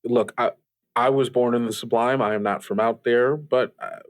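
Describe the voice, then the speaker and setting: A man acts out an easygoing host of a late call-in radio show. He speaks conversationally at a middle pitch, hesitating as he searches for words. He is supportive and reassuring with his callers. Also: fairly even intonation, moderate pace, natural voice. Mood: gentle, reassuring. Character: easygoing, supportive male radio DJ in a strange world. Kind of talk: improvised radio show acting.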